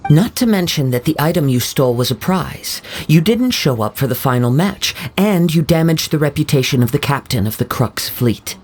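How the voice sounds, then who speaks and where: An actor playing a calm, reflective young samurai in a game, measured and soft-spoken. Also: male voice, fairly high pitch, a little hiss or hum, lightly acted. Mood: stern. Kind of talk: game voice acting. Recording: game audio over music.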